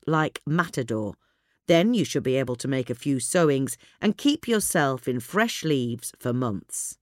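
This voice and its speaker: A woman reading a gardening magazine article aloud in a measured, clear way. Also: sits low, no echo, clean studio sound. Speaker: reader. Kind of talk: scripted narration of a gardening article.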